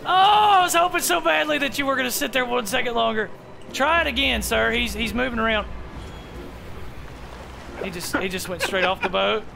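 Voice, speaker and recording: A man's voice: very high-pitched, animated, moderate pace, creaky, long pauses, background noise. A young man from Alabama, streaming a pirate game with friends, teasing them and calling everyone sir, on a headset mic. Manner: teasing, mock-formal, calls everyone sir. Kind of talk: casual gaming chat with friends. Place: home streaming room.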